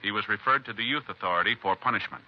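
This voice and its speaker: An actor as a formal, impersonal announcer, reading 1950s radio narration in a crisp, matter-of-fact way. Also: male voice, fairly low pitch, quick pace. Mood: official, matter-of-fact. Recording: dull, noisy vintage radio recording.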